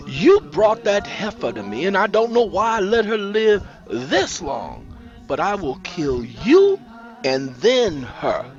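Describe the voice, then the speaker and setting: A man's voice: sits high, huge pitch swings, slow pace, soft background music, pitched above the performer's own voice, full character voice. Man acting out a menacing crime boss in a dull recording. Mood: menacing, bullying. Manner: bullying, threatening, domineering. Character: menacing, violent male crime boss making threats.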